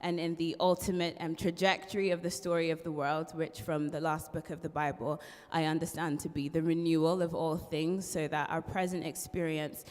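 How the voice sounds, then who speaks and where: A woman's voice: low-pitched, level tone, moderate pace, few pauses, a little mellow. A young woman with a mixed Irish accent, a part-qualified barrister, chatting as an interview guest with frequent ums and laughs. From born in London, raised in Ireland. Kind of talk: casual interview chat. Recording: long hall reverb.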